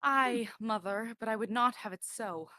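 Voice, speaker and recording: A woman's voice: a little high, moderate pace, bright, forceful tone. A woman playing a precocious, chatty young prince in a Shakespearean radio play, telling things in formal but childlike speech. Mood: pert.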